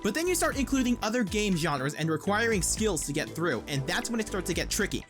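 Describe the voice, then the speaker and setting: Casting: irreverent video essayist, animated and fast-talking, scripted comedic narration over light music. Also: mid-range voice. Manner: wry, irreverent. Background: background music.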